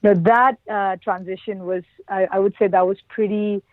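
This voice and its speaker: A woman's voice: medium pitch, fairly even intonation, moderate pace, dry recording. A woman from Oman and India answering as a podcast guest, telling personal stories in a conversational interview style. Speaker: podcast guest, production engineer. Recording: lo-fi band-limited call audio.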